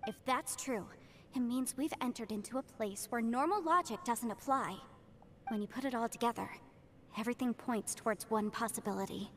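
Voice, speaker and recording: An actress playing a composed young woman strategist in a video game, speaking in an earnest, deliberate, analytical way. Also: on the high side, a bit bright, a little hiss or hum. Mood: pondering, grave. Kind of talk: video game cutscene acting.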